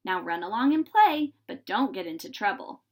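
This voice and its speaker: A woman voicing a kindly, firm man from a children's storybook, reassuring and gently cautioning. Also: mid-range voice, big pitch swings, normal speed. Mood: gentle instruction. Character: kindly, firm man in a big yellow hat.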